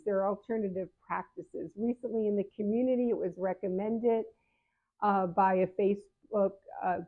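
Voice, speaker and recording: A seventy-year-old woman giving frank, conversational advice to her viewers as a patient advocate, often correcting herself mid-sentence. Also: mid-range voice. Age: 70s. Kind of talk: casual advice talk to camera. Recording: phone-like narrow sound.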